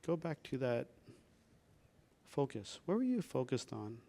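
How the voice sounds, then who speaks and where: A man, a doctor leading a workshop, guiding a reflection exercise with slow, calm prompting questions. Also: mid-range voice, creaky.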